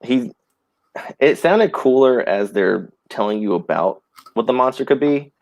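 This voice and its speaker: A man's voice: mid-range voice, animated, measured pace, a little mellow, no room sound. A man chatting casually about films as co-host of a live stream.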